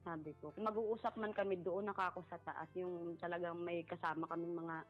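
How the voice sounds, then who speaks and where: A middle-aged woman, giving a personal account in a polite, halting way and often repeating herself. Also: fairly low pitch, steady tone. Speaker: caller seeking advice. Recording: muffled lo-fi voice over background music.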